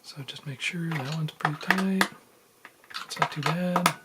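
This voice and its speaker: A man giving a casual, unscripted how-to in a low-key, halting murmur while he works with his hands at a bench. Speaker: electronics hobbyist demonstrating a repair. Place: indoors at a workbench. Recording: noisy room with handling clicks.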